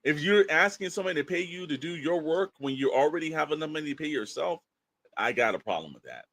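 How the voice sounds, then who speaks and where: A man's voice: a little high, animated, fast talker, fairly bright tone. A man co-hosting a podcast, giving his opinions in an emphatic, rambling, colloquial way. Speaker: podcast co-host. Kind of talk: opinionated casual podcast talk.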